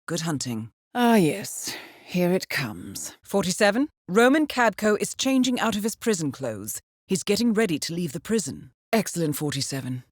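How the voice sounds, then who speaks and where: An actress playing a composed, articulate intelligence handler in a video game, briefing an assassin in a measured, precise, formal way. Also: female voice, medium pitch, no echo, clean studio sound. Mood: composed, businesslike. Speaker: mission handler.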